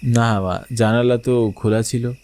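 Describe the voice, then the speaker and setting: A man's voice: medium pitch, fairly even intonation, unhurried, a little mellow, lightly acted. A young man playing a grown son who tells his own eerie village ghost story in dramatic, suspenseful scripted narration and dialogue. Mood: curious.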